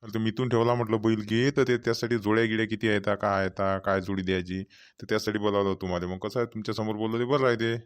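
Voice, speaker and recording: A man's voice: lowish voice, little pitch movement, fast talker, clear, resonant tone, close to an everyday voice. A man voicing a talkative village farmer with a rural Vidarbha Marathi accent in cartoon comedy dialogue, rambling and repetitive. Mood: businesslike, chatty. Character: talkative male village farmer chairing a local meeting.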